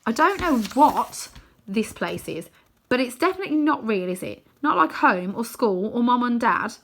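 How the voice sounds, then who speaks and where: Storybook girl, played by a woman: headstrong, scornful, firm, higher-pitched with lively swings. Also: moderate pace, slight background noise. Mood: unimpressed, sceptical. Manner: firm, sceptical, exasperated. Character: stubborn, bossy, scornful girl, a twin sister.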